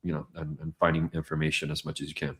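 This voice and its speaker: A hoarse man co-hosting a business podcast, talking in a rambling way with many fillers. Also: extremely low pitch, monotone, fast talker. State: hoarse, losing his voice. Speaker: podcast co-host. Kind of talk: casual business podcast chat. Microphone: studio mic.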